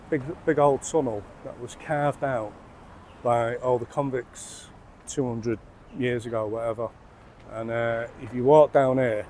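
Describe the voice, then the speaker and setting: A man's voice: mid-range voice, a little mellow, slight background noise. A man in his forties with a Mancunian accent, tipsy from beer, chatting and swearing freely as he vlogs a pub crawl through the city streets on a handheld phone. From England. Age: 40s. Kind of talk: casual walking vlog. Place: outdoors on city streets and a busy rooftop bar.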